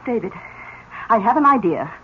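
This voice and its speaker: A woman's voice: fairly high pitch, expressive, moderate pace, natural voice. An older woman acting a genteel, fretful aunt in a 1970s radio drama, speaking with earnest, dramatic flair. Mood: earnest, awed. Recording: muffled, hissy old radio broadcast.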